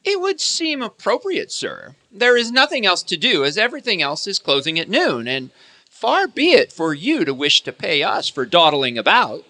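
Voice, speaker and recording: A meek, nervously polite clerk in a radio play, played by a man. His voice is fairly high and bright, swinging widely in pitch as he hesitates and defers. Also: huge pitch swings, moderate pace, heavily acted. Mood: hesitant, pleading. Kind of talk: theatrical radio play acting.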